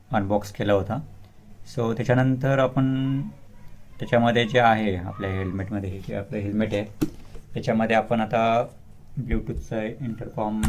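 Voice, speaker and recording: A man doing a casual unboxing walkthrough as a vlogger, hesitant, with many fillers and pauses, mixing English words into his speech. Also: fairly low pitch, a little mellow, slight background noise.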